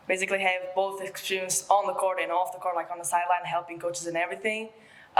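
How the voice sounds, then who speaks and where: A woman's voice: fairly low pitch, a bit bright, reverberant, rough recording. A young woman college basketball player from Portugal, speaking English with a Portuguese accent, with many "like" fillers.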